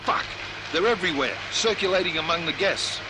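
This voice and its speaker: A man playing a brisk, professional squad leader in a video game, giving orders with authority. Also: sits high, lively intonation, background noise. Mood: urgent, commanding. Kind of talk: video game cutscene acting.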